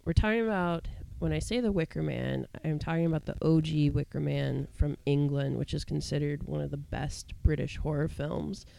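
A woman in her thirties co-hosting a podcast, husky from a cold and chatting in a lively way with fillers and laughs. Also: sits low. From Ohio. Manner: animated, laughs between lines, trails off into fillers. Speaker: podcast co-host. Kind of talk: casual chat.

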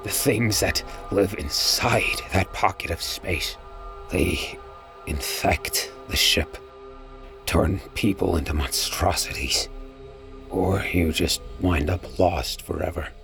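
An actor playing a weary, uneasy space pirate with a brooding manner, as in a first-person audio drama. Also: male voice, sits low, slow, gravelly, fairly bright tone, exaggerated voice. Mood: pained, fearful. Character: weary, uneasy male space pirate narrating his ordeal. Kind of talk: audio drama acting and narration. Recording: music behind the voice.

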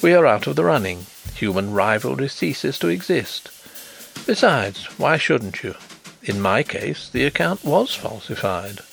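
A man voicing a suave, quietly thoughtful, self-assured blind gentleman in a storybook reading. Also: medium pitch, big pitch swings, measured pace, creaky, soft background music, exaggerated voice. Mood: frank, genial.

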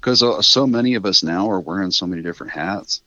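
Middle aged guy w a really low forceful voice, rambly thoughtful interview chat, bit dull sounding mic. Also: quick pace, no echo. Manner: rambling, thoughtful, self-correcting. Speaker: interview guest, writer of a radio drama. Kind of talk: casual reflective interview chat.

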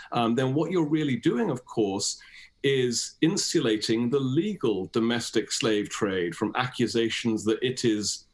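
A man with a British accent, speaking as an academic guest in an explanatory, discursive and emphatic interview style. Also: medium pitch.

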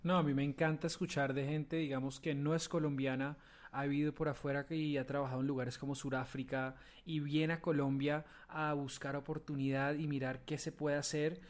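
A man's voice: on the high side, level tone, brisk. A man hosting a startup podcast, upbeat, reading a scripted opening and interviewing a guest. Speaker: podcast host.

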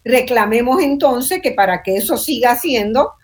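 A woman's voice: a little high, expressive, normal speed. An older woman with a Puerto Rican accent, hosting a panel discussion in a reflective way and often adding "¿verdad?". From Puerto Rico. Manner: thoughtful, reflective, tags sentences with "¿verdad?". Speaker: host.

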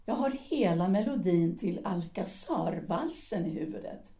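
A woman's voice: fairly low pitch, lively intonation, mellow, close to an everyday voice. A woman playing an embittered former actress, a cutting and reproachful middle-aged wife in an audio drama. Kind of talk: Swedish audio drama acting.

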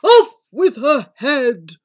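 A woman's voice: sits high, very animated, slow, fairly bright tone, dry recording, studio quality, voice pushed up, full character voice. A woman voicing an impulsive, haughty young prince in a storybook tale, declaiming dramatically. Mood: furious.

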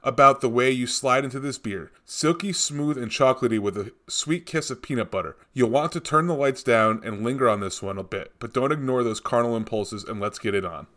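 A man's voice: mid-range voice, a little fast, hardly any pauses, a bit bright. A man co-hosting a beer podcast, chatting casually and rambling through his tasting notes. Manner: rambling, tangential, with many fillers and restarts. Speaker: podcast co-host reviewing beer. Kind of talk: casual chat.

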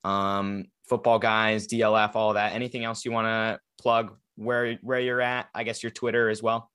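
A man's voice: lowish voice, little pitch movement, moderate pace, fairly bright tone, clear, resonant tone. A young man hosting a sports podcast in a casual, rambling chat with a guest. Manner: rambling, filler-heavy, conversational. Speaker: podcast host.

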